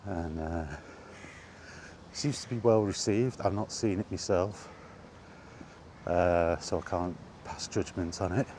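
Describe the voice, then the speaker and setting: A man with a low voice talks at a slow, unhurried pace in a casual, rambling monologue. He is plain-spoken, like a gaming vlogger out on a walk, with light outdoor noise behind him. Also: darkish tone.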